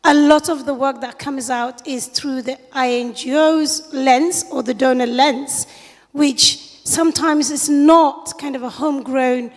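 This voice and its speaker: A woman from Somalia who grew up in the UK, asking an earnest question from the audience at a conference, pausing often to search for words. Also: high-pitched, fairly even intonation. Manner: earnest, searching, with fillers and pauses. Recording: long reverb of a lecture hall.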